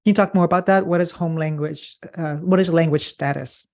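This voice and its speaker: A man's voice: a little high, fairly even intonation, brisk, gravelly, darkish tone. A man hosting an interview, asking short, friendly questions in a conversational way. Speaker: podcast host.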